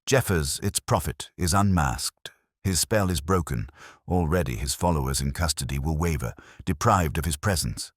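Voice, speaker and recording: A man voicing a grave, incisive detective in a mystery audiobook, speaking in measured, solemn, oratorical phrases. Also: rumbling low, dry recording, clean studio sound. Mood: measured, assured. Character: grave, incisive male detective explaining a case.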